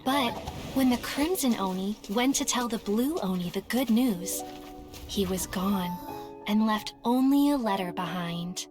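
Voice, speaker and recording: A woman voicing a composed, shrewd female detective in a video game, telling things in a measured, confident way. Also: mid-range voice, music in the background, close to an everyday voice. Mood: gentle storytelling.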